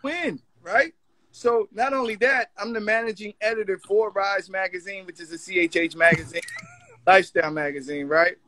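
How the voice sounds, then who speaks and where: Bright, high-voiced middle-aged male mentor. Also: normal speed, no room sound. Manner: emphatic, urging. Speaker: guest mentor, magazine editor. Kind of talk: informal motivational talk.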